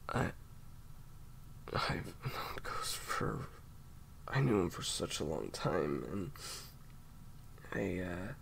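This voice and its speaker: A man playing a grieving, guilt-ridden secret agent in an audio roleplay, choked up and halting, sometimes dropping toward a whisper. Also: low-pitched, creaky, a little hiss or hum, full character voice. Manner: halting, faltering, breaking into whispers. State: upset, choked up with grief. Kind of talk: intimate audio roleplay acting.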